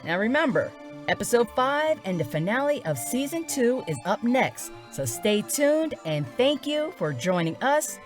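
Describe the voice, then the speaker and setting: A woman's voice: a little high, big pitch swings, measured pace, soft background music. A woman announcer reading scripted credits and notices for a fiction podcast.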